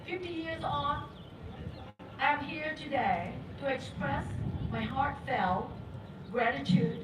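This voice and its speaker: A woman in her sixties who grew up in Vietnam and speaks Vietnamese as her first language, giving a slow, emphatic, halting speech. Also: sits high. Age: 60s. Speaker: museum docent, speaker. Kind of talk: prepared public speech. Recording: echoey, distant, very noisy.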